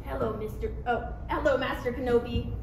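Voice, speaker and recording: Heard in a noisy, echoing room, a woman plays a chummy male chancellor in a comedy script. The voice is rather high, with polite, slightly faltering friendliness. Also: steady tone, fairly quick, lightly acted. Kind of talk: comedy script table read. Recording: distant, echoey, noisy room recording.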